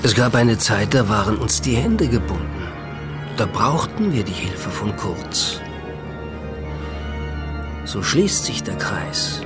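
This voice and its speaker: An actor playing an older, manipulative man of power in a radio drama, measured, persuasive and grandiose. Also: fairly low pitch, sing-song. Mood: self-justifying, placating. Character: Wells, old smooth-talking, manipulative male powerful leader. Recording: loud music under the voice.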